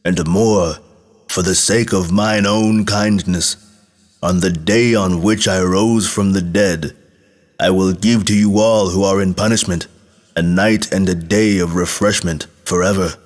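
A man's voice: low voice, steady tone, measured pace, in a large hall. A man voicing the Son of God as a grave, reproachful divine judge in a scripture reading. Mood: solemn mercy.

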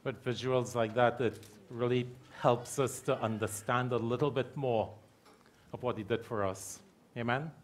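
A middle-aged man preaching a sermon from a church pulpit mic, repeating key phrases slowly for emphasis. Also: medium pitch, fairly even intonation, slight background noise. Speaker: pastor. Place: church hall with stage curtains.

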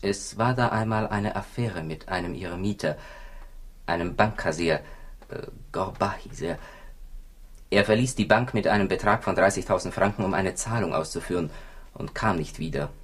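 An actor playing a weary, gossipy police commissioner in a 1960s radio crime drama, husky and confiding. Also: male voice, sits low, moderate pace. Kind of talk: 1960s German-language radio drama acting.

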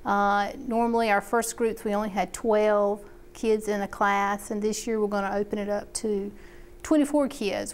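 Middle-aged woman, community agent, conversational and filler-heavy, resonant clear tone. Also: mid-range voice. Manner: chatty, with many fillers and restarts. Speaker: extension agent. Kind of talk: interview about a program.

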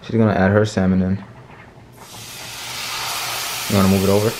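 Low-voiced young man, echoey kitchen. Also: flat delivery, normal speed. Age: young adult. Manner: informal, slangy. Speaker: home cook and vlog co-host. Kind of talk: casual cooking chat. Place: home kitchen, indoors. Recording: echoey kitchen with loud frying noise. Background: frying sizzle and stirring.

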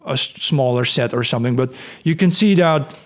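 Middle-aged male podcast host, hoarse, rambling explainer with restarts. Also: medium pitch, fairly quick. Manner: thinking aloud, many fillers and restarts. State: hoarse, voice cracking, clearing throat. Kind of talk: casual explanatory talk over screen share. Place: indoor room at home. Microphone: studio mic on boom arm.

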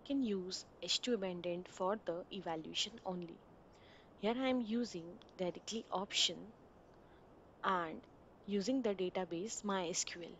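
A woman of middle pitch talks through a software installation one step at a time, in a plain instructional voice. A faint hiss sits under her voice-over. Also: moderate pace, lo-fi sound. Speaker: software tutorial narrator. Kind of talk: screen-recorded installation walkthrough.

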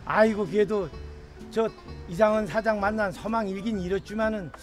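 Lamenting Jeju villager, played by a man, high voice, music under. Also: fairly even intonation, moderate pace, lightly acted. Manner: sighing, lamenting. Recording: background music under dialogue.

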